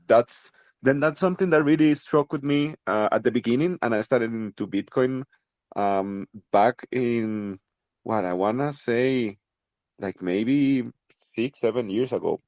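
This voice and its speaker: A man's voice: medium pitch, unhurried, a little mellow, dry recording. A man from Costa Rica with a Costa Rican Spanish accent, a native Spanish speaker, talking as a podcast guest in a thoughtful, casual way with many fillers and restarts. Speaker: guest, crypto project representative. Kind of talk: casual interview conversation.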